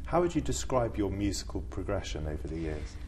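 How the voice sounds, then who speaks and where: Deep-voiced male interviewer. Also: very animated, a little fast, a little mellow, slight background noise. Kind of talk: short interview questions.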